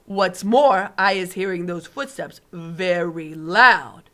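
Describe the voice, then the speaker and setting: Woman reading as a big kindly giant, booming and earnest, odd grammar, storybook voice. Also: slow. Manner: loud, earnest, mangled grammar.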